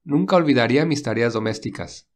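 A man voicing a caring young male lead in a read-aloud romance, speaking softly with light teasing. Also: medium pitch, animated, a little fast, lightly acted. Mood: gentle.

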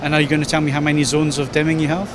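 A man with a Scottish accent asks questions in a chatty, informal way, his voice pitched a little higher than most men's. He is on a crowded trade show floor, and clear hall noise carries on behind him. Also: little pitch movement, rapid-fire. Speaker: tech website interviewer.

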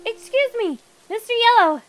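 An insistent reporter, played by a woman, high-voiced and indignant. She presses her challenge hard, in the manner of an animated comic. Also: normal speed, music in the background, low-quality recording. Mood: insistent, challenging.

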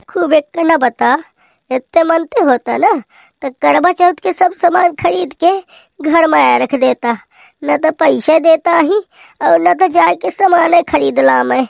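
A woman's voice: very high-pitched, gravelly, no echo, studio quality, full character voice. A woman voicing a nagging, demanding village wife in a comedy cartoon, complaining with a Bagheli accent.

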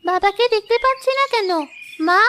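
A woman's voice: very high-pitched, normal speed, sharp tone, slight background noise. A woman voicing a sad, insistent young village girl in a cartoon, plaintive and questioning. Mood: upset, whining. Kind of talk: cartoon dialogue dub. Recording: music under the voice.

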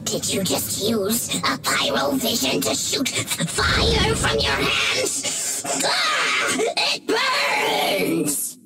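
A small, frantic monster mage from a fantasy game, high and wildly swooping. The speech stammers and drags, with loud music around it. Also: wildly swooping pitch, extremely slow, far from the mic, full character voice. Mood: agonized panic. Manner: stammering, swooping between snide and shrieking. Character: frantic small furry abyss mage monster, captured and pleading.

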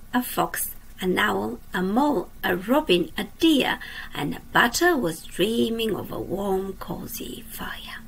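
A woman teacher reading a children's picture book aloud, asking the young listeners questions and dropping to a soft whisper at the ends of lines. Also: medium pitch, gravelly, breathy edge, rough recording. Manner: slow, gentle, drops to a soft whisper at line ends. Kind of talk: children's storytime read-aloud. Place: indoors at a computer.

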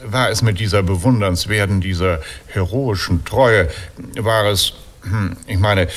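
An actor in a German radio play playing wily old Odysseus, a teasing, unhurried, mock-courtly husband. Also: male voice, on the low side, fairly bright tone. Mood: sly.

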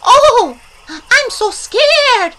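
Jeering otter fellow acted by a woman storyteller: piercingly high, loud, whiny, drawn-out sarcasm. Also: little pitch movement, slight background noise, exaggerated voice, rough recording. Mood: mock fear, jeering. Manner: exaggerated, drawn-out whining mockery. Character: mocking, sarcastic male otter.

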